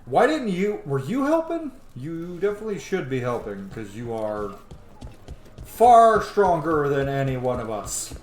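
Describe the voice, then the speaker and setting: A man's voice: fairly high pitch, wildly swooping pitch, a little slow, echoey room. A man giving casual, reactive commentary while playing through a game, chatty and informal. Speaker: gamer, channel host.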